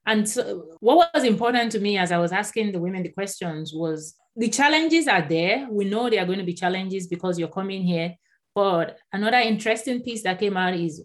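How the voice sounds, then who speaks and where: Animated Nigerian-born woman author. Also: medium pitch. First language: Yoruba. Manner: animated, laughs often, repeats words when starting a thought. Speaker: author, guest. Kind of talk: conversational interview.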